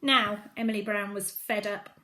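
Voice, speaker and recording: A woman's voice: mid-range voice, fairly even intonation, normal speed, pushed and bright. A woman reading a children's story aloud, expressively, with dramatic emphasis on key phrases. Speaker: storybook reader at a primary school.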